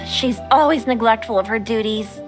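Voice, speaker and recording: A woman's voice: a little high, unhurried, close to an everyday voice. A woman voicing a polite, dutiful female overseer in a video game, speaking formally and with composure. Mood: mildly exasperated. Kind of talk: video game character dialogue. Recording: game music behind the voice. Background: background music.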